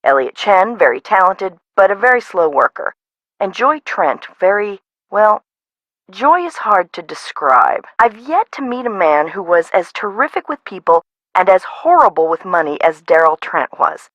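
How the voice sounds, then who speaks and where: A woman voicing an amusement park owner in a mystery game, businesslike, with a measured, conversational, musing manner. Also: mid-range voice, sing-song, fairly bright tone, no echo, dull audio. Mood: musing, candid. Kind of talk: scripted game dialogue.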